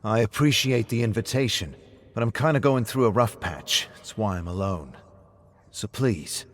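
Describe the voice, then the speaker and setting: A man's voice: on the low side, moderate pace, pitched above the performer's own voice, lightly acted. A man voicing a surly, foul-mouthed, hot-tempered drinker with a blunt, irritable way of talking in an audio story. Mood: curt, restrained.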